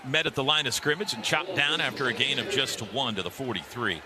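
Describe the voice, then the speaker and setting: A man's voice: mid-range voice, lively intonation, brisk, a crowd in the background. An American man calling live football play-by-play for radio in clipped, punchy phrases. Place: outdoor football stadium. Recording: clearly noisy outdoor stadium broadcast.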